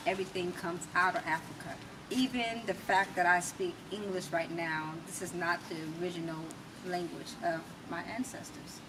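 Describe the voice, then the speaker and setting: A woman's voice: on the low side, steady tone, moderate pace. An American woman from California speaking conversationally as an interview guest about her own views and experience. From California, United States. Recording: distant mic with clear background noise.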